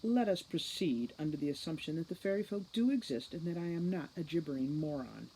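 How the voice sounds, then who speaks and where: A woman's voice: deep voice, expressive, fairly quick, dark tone, a little hiss or hum, low-quality recording. A woman reading a storybook as a formal, condescending young boy mastermind who lectures impatiently. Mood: stern, impatient. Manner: formal, lecturing, precise diction.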